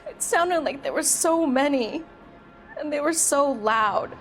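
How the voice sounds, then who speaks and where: A woman's voice: high voice, animated, a little slow, music in the background. An actress playing a young female shop employee in a video game, an anxious and evasive murder witness who speaks hesitantly and guardedly. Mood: reluctant. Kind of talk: dramatic video game acting.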